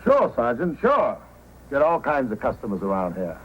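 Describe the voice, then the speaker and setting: An actor playing a folksy, obliging frontier proprietor in old-time radio style. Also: male voice, mid-range voice, huge pitch swings, normal speed. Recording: tinny, noisy old radio recording.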